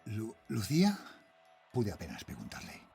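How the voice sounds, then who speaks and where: Male actor as frightened night-guard father, low voice, Spain accent, tense hushed horror narration, audio drama, prominent music behind. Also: huge pitch swings, measured pace, gravelly, heavily acted. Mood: shocked.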